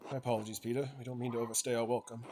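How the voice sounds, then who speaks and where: A man's voice: mid-range voice, flat delivery, racing, noisy background, lightly acted. A man voicing a kindly, courteous artist master in a game, with a polite, concerned manner.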